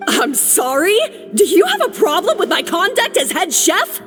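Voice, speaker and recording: A haughty, snappish head chef. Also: female voice, very high voice, huge pitch swings, normal speed, soft background music, exaggerated voice. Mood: defensive. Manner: sharp, defensive, bossy. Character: haughty, short-tempered female head chef. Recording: reverb.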